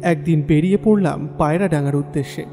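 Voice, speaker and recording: Man as high-voiced young village son, reverberant. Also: fast, dark tone, lightly acted. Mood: reflective narration. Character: young village son, dutiful, eager, reassuring. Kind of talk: audio drama dialogue and first-person story narration. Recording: long hall reverb, music underneath.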